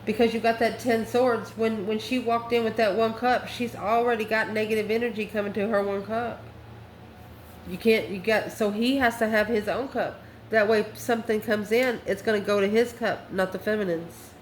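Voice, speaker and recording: A woman of medium pitch gives an off-the-cuff tarot reading to her viewers. She talks conversationally, in short phrases, as if working out each card aloud. The room echoes around her voice, with faint noise underneath. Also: steady tone, moderate pace. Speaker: tarot reader, channel host. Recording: roomy echo, faint background noise.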